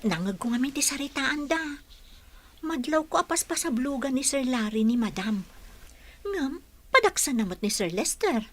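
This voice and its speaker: A woman playing a cheeky, dutiful young caregiver in a radio comedy drama, brisk and playful, with faint noise behind her. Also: high-pitched, animated. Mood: gossipy.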